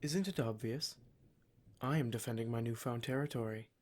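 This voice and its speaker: A man's voice: mid-range voice, normal speed, close to an everyday voice. A man playing a cruel, smug demon in a scripted ASMR roleplay, speaking in a formal, mock-polite and menacing way. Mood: haughty, explanatory. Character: cruel, smug male demon, taunting captor of adventurers.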